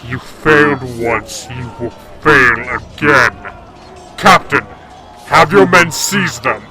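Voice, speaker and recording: A man's voice: mid-range voice, takes it slowly, pushed and bright, soft background music. A man playing a cold, imperious frost king full of menace and contempt in a fantasy audio drama. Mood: scornful.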